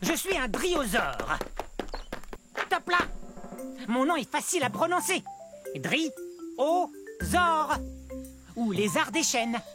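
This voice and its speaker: A woman's voice: sits high, slow pace, long pauses, over music, heavily acted. A woman voicing a small, cheerful plant-eating dinosaur who chats directly to young viewers in a children's cartoon. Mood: friendly, chatty. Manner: lively, addresses viewers directly.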